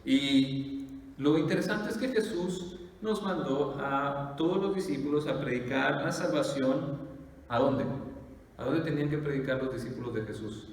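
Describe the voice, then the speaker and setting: A pastor, preaching in a conversational style full of "eh" fillers and rhetorical questions. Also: male voice, mid-range voice, unhurried. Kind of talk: sermon. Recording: echoey hall from far off.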